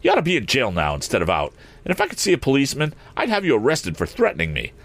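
A man's voice: medium pitch, huge pitch swings, very fast, creaky, fairly bright tone, pitched above the performer's own voice, exaggerated voice. A man voicing a bold young inventor hero in a storybook, earnest, indignant and defiant. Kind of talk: audiobook character acting.

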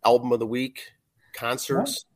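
A man hosting a casual music podcast with a hoarse voice, reading album facts from his notes in a loose, conversational way. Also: medium pitch, very animated, measured pace. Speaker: podcast host.

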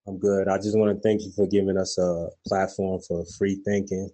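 A man's voice: deep voice, level tone, normal speed, warm tone. A man calling in to a talk show, speaking casually and rambling with many fillers. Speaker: caller.

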